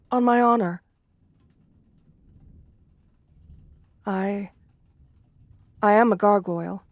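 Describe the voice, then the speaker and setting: A woman's voice: medium pitch, darkish tone, no echo, studio quality, lightly acted. A woman playing a shy, sweet, bookish gargoyle in a romantic ASMR roleplay, speaking hesitantly with polite, old-fashioned formality. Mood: flustered, timid.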